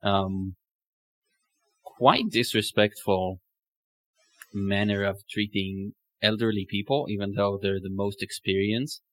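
A man's voice: on the low side, fairly even intonation, slow, long pauses, a bit bright, dry recording, rough recording. A man hosting a reflective conversational podcast, speaking thoughtfully and feeling his way toward his words. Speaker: podcast host.

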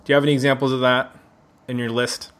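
A man's voice: medium pitch, little pitch movement, quick pace, a bit bright. A man from Buffalo in the United States hosts a casual round-table music podcast in a chatty, jokey way, often correcting himself. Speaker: podcast host. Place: indoors at a neighbor's house.

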